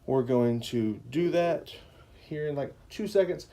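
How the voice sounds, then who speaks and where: A man's voice: fairly high pitch, unhurried, dark tone. A man hosting a family livestream, chatting casually and reading a bedtime story in an informal, explanatory way.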